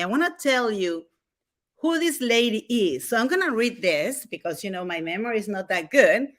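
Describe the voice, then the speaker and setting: A woman hosting an online interview show, introducing her guest in an effusive, gushing way. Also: medium pitch. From USA. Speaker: host.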